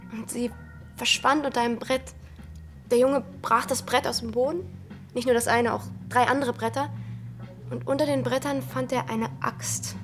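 A young actress playing a restless, brooding village girl in a radio drama, speaking in intense, fragmented phrases. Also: a little high.

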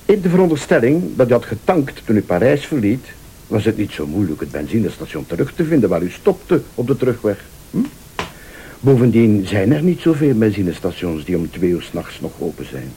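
An actor playing a vengeful rival in a radio thriller, a calm, sardonic accuser who speaks Flemish with deliberate, probing politeness. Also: male voice, lowish voice, a little hiss or hum. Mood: smug, relentless. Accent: Flemish Belgian. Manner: deliberate, insinuating, formally polite.

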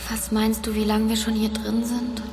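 An actress playing a disoriented young woman trapped in the dark in a radio drama, speaking naturally and hesitantly over music. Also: fairly high pitch, completely flat delivery, normal speed, natural voice. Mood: weary. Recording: music under the voice.

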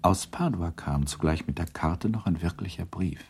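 Low-pitched male radio play narrator. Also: normal speed, breathy edge.

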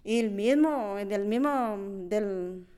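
Real-voice testimony: rural Honduran woman, community leader, medium pitch, colloquial, repetitive storytelling with reported dialogue. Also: slow, dark tone, clean tone. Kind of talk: spontaneous oral testimony, storytelling.

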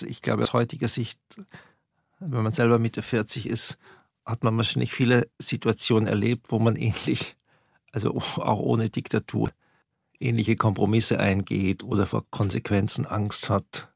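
A man's voice: medium pitch, little pitch movement, normal speed, creaky, breathy edge, no echo, clean studio sound. A man in his forties thinking aloud in a reflective interview, searching for words.

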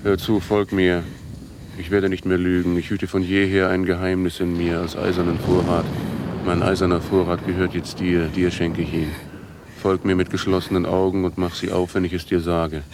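An actor playing a haunted, defiant outcast with a brooding, poetic delivery in a literary radio drama. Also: male voice, low voice, little pitch movement, moderate pace, noisy background. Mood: entranced, beckoning.

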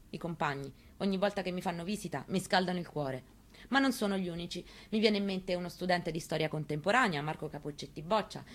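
An actress in a radio stage reading, playing an elderly grieving mother in a quiet, reflective monologue. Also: fairly low pitch. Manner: quiet, reflective, conversational storytelling.

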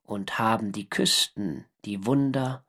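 An actor playing a navy sailor who recounts his wartime diary in measured, dryly observant literary narration for a radio drama. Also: lowish voice, a bit bright, slightly rough, heavily acted. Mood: measured, wistful.

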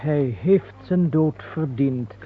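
A man playing a scheming, obsequious baron in an old radio play, with a measured, theatrical delivery. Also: a little high. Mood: conspiratorial. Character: scheming, servile male baron at court. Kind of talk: old radio drama acting. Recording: phone-like old radio recording, faint hum.

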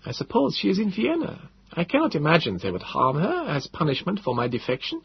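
A man voicing a gentle, sorrowful older professor who speaks slowly and softly, with a raised, thinner tone, in an audiobook reading. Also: fairly high pitch, wildly swooping pitch, creaky, a little hiss or hum, voice pushed up, heavily acted. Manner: slow, soft and resigned. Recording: dull lo-fi recording.

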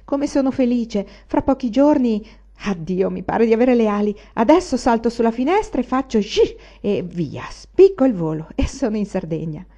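A woman voicing an eager, excitable young male student in an audiobook. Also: mid-range voice, moderate pace, natural voice. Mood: elated. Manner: exuberant, boastful. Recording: dull lo-fi recording.

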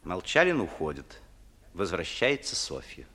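A man's voice: deep voice, huge pitch swings, measured pace, a bit bright. A man reading stage directions as scripted narration.

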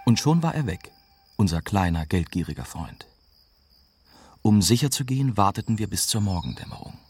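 An actor playing a young German journalist who narrates his adventure in measured first-person radio drama style. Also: on the low side, animated. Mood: wry, measured. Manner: measured, storytelling. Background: prominent music.

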